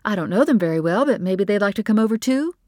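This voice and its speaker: A woman putting on a gushing high-voiced mother. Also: big pitch swings, no echo, clean studio sound. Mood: coaxing. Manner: gushing, rapid, high-strung. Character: eager, fluttery middle-aged mother.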